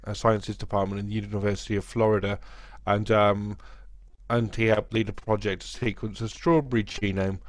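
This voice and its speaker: A hesitant man talking slowly on a live show, with many ums and pauses. His voice is lowish, smooth and resonant. The sound is dry and close. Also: dry recording. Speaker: podcast panelist. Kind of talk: casual live-stream chat.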